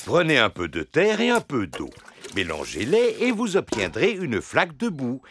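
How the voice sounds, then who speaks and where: A man's voice: mid-range voice, wildly swooping pitch, normal speed, fairly bright tone, a little hiss or hum. An actor voicing a patient grown-up male pig who explains experiments to children in a teacherly, sing-song way, in a French cartoon dub. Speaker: father leading science experiments.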